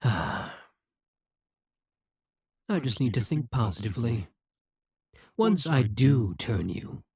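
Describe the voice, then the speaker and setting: A scheming demon king, speaking in a breathy, husky hush. He takes his time, slow and deliberate, each word pressed close in a dry, dead room. Possessive and intense, he leans right into the listener's ear. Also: mid-range voice, no echo, full character voice. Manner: slow, measured, intimate delivery. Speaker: voice actor. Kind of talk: audio roleplay acting.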